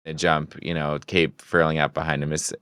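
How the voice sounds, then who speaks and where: A man's voice: rumbling low, little pitch movement, normal speed, fairly bright tone, clean tone, no echo. A man co-hosting a podcast, casually describing comic-book scenes panel by panel in conversation. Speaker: podcast co-host.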